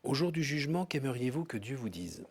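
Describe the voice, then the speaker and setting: A man hosts an interview in a TV studio. He keeps his questions short and calm. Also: mid-range voice, brisk, husky. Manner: calm, brief prompts. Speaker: host. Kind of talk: TV interview hosting. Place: TV studio set.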